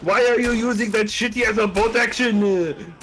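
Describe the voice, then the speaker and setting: Guy doing a hyped austrian soldier voice, high pitched, accent keeps slipping american, faint hiss behind. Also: normal speed. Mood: brash. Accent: put-on Austrian accent slipping to American. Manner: excitable, shouts battle cries. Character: eager young male Austrian soldier, brash and excitable. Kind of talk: in-character roleplay while gaming.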